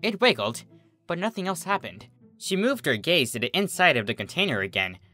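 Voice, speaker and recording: An expressive reader narrating a comedic story from a script, acting out the character's reactions over background music. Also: mid-range voice, a little fast.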